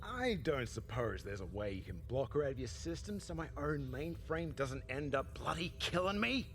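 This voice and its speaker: British space captain in a game, cheeky and blunt, slangy, pitch jumps around a lot. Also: male voice, very animated, normal speed. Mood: blunt, casual. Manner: casual, wry, slangy. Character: cheeky, foul-mouthed male starship captain, casual and blunt. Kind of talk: scripted video game voice acting.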